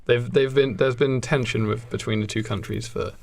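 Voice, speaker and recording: A man's voice: mid-range voice, fairly even intonation, moderate pace, gravelly. A man podcasting in a casual, comedic brainstorming chat, telling a story in a hesitant, stop-start way. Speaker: podcast host. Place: small indoor recording booth. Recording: noticeable background noise and clicks.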